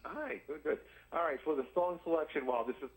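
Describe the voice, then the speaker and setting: A man calling in to a radio show, chatting casually in a halting, deliberate way. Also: a little high, low-quality recording. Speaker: radio call-in listener. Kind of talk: casual call-in chat.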